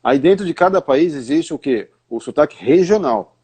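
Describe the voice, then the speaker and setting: A Brazilian man with a raspy, somewhat high-pitched voice talks through pronunciation in a live chat. He explains patiently and keeps asking rhetorical questions to guide his listener. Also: expressive, moderate pace, darkish tone. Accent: Brazilian Portuguese. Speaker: English teacher. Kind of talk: live stream conversation.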